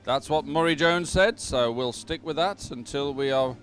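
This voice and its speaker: A man presenting live sports commentary, pausing as he goes and adding upbeat, sentimental asides. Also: medium pitch, a bit bright, soft background music. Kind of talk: live commentary on a dragon boat regatta.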